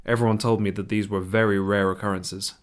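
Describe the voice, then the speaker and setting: A man playing a discreet, courteous gentleman with formal delivery in a radio play. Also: fairly low pitch, little pitch movement, fairly quick, natural voice. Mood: courteous, composed. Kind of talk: scripted radio play, formal letter reading. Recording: studio radio play with music behind. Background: music.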